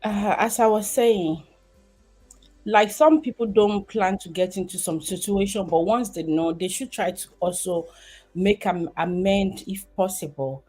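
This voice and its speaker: A woman's voice: mid-range voice, measured pace, a little mellow, breathy edge, rough recording. A woman in her thirties from Nigeria with a Nigerian accent, chatting casually on a livestream and rambling with many fillers and restarts. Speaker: livestream host.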